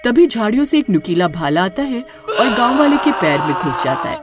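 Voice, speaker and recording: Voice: medium pitch, very animated, fairly quick, loud music behind the voice. A storyteller giving scripted, dramatic narration of a tale.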